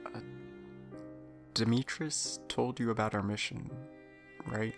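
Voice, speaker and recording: An ailing male starship crewman in an audio drama, weary and guilt-ridden. He speaks slowly in a subdued, hesitant confessional way, with music behind him. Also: lowish voice, steady tone. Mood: hesitant, reflective.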